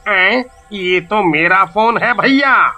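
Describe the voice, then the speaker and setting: A man doing an indignant male customer in an animated storybook, with a high, very sharp and tense voice. He speaks very slowly and presses his claim. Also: full character voice. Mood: insistent.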